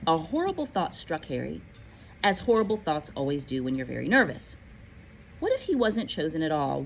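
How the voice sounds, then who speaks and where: A woman narrates a storybook with plenty of playful animation. Her voice sits a little low but moves widely up and down. Noticeable noise runs behind her. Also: poor audio. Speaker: storybook reader, teacher-like. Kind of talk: expressive read-aloud narration with casual asides.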